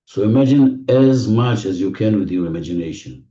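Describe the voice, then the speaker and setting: Very mellow, deep-voiced man guiding meditation. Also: lively intonation. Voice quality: non-native English phrasing. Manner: gentle instructions with repetition and deliberate pauses. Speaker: guided meditation leader. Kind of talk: guided visualization, spoken slowly to a listener. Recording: muffled, echoey room, distant mic.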